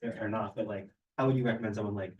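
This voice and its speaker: A man's voice: on the low side, steady tone, rapid-fire, darkish tone. A man in the audience asking a question casually and hesitantly, often filling in with "like". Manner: peppers speech with "like". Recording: distant, muffled, faintly noisy pickup.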